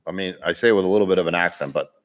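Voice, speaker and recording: A young man with a Boston accent hosts a casual live Q&A, reading viewer comments aloud. His voice sits a bit low and he talks in a loose, rambling way, often laughing and restarting his sentences. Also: rapid-fire. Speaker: vlogger hosting a live Q&A.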